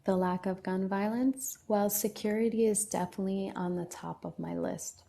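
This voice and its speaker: A woman's voice: mid-range voice, fairly even intonation, moderate pace, vocal fry, warm tone. A woman hosting a casual livestream, reading out viewer comments and answering them one by one. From Lebanon. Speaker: livestream host.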